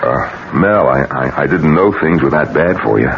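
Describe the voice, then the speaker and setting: A man acting in a 1970s radio drama, playing a tough but decent man with a gravelly voice and halting delivery. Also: rumbling low, lively intonation, fairly bright tone, heavily acted. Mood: awkwardly generous. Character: Mike, tough, defiant man, generous underneath, shaken. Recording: muffled, very noisy old radio broadcast.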